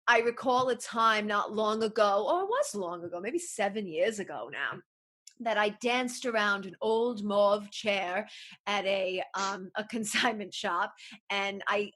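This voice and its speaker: A woman podcast host speaks at a medium pitch in a put-on British accent. Her delivery is theatrical and playful, sprinkled with terms of endearment, in the style of a casual, chatty show. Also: fairly bright tone. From New England, USA. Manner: theatrical, playful, peppers talk with endearments like love and darling.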